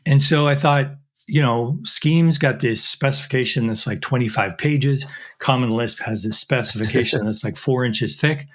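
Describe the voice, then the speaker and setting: A man's voice: mid-range voice, normal speed, hardly any pauses. A middle-aged man, a chemistry professor, explaining technical work in an interview with frequent fillers and restarts.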